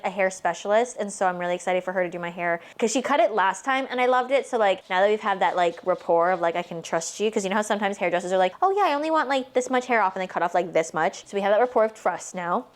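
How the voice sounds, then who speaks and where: A woman in her late twenties from Canada, chatting casually in a rambling way, filling her talk with "like" as she vlogs while baking. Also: mid-range voice. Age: 20s. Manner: rambling, heavy use of "like"; talks with her hands. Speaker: vlogger.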